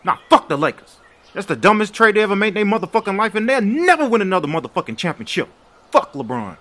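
Guy doing a cocky young hooper, animated, slightly high voice, slangy cussing, comedy dub. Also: quick pace. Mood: defiant. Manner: slangy, profane.

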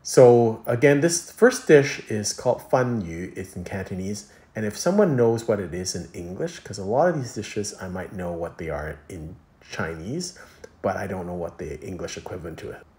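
A man with a low-pitched voice narrates a casual food review. He explains things as he goes, in a loose, rambling way with a hesitant flow. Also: lively intonation, moderate pace, darkish tone.

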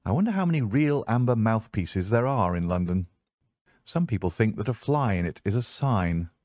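An assured, analytical gentleman detective played by a male narrator in an audiobook. He speaks precisely, as if lecturing, in a fairly low voice with very little room sound. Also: very animated, moderate pace, no echo. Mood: brisk, vexed. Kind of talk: audiobook character voice.

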